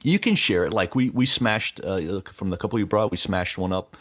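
A middle-aged man with a fairly low voice chats as a show co-host. He is enthusiastic and tells things in a loose, meandering way, keeping it casual throughout. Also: normal speed, a bit bright, husky. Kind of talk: casual chat.

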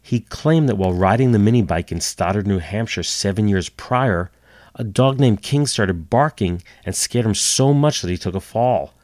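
Deep-voiced podcast guy, a bit nasal from a cold, dry wry asides, home mic. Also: animated, normal speed, darkish tone, no room sound. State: getting over a cold. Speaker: podcast host. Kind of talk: storytelling history podcast. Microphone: home computer setup.

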